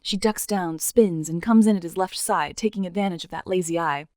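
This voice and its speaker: A woman's voice: fairly low pitch, fairly quick, a little mellow, no room sound, studio quality. A woman narrating an audio drama as scripted, dramatic storytelling.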